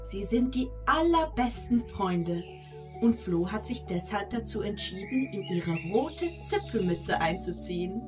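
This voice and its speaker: Slow-paced woman narrating a fairy tale. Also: medium pitch, big pitch swings. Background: prominent background music.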